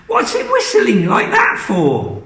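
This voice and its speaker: Worried fussy mum played by a man, high and wavering, echoey room. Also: wildly swooping pitch, slow, bright, forceful tone, far from the mic, full character voice. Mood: doubtful. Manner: worried, fussing, doubtful.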